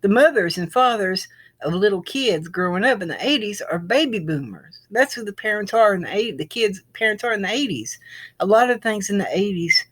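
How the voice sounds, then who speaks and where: A woman's voice: medium pitch, animated, a little fast. Casting: woman, middle-aged, mid-range voice, informal video creator who rambles and restates points. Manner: repetitive, circling back over phrases. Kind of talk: informal rambling explainer to viewers.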